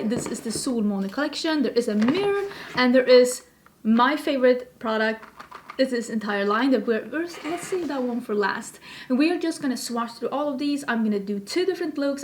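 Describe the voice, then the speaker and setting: A woman's voice: a little high, slight background noise. A woman in her thirties from Sweden with a Swedish accent, chatting enthusiastically to camera in a makeup review and wandering into little asides. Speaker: beauty youtuber. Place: home filming room with plants, indoors.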